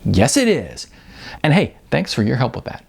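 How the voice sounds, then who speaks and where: A man's voice: medium pitch, wildly swooping pitch, moderate pace, vocal fry, a little mellow, slightly rough, pitched above the performer's own voice, full character voice. A man puts on an exuberant young indie rocker for an audiobook story. The voice swings widely and rises well above a plain reading, full of slangy, boastful swagger. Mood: upbeat, casual. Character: cocky, excitable young male indie rock musician.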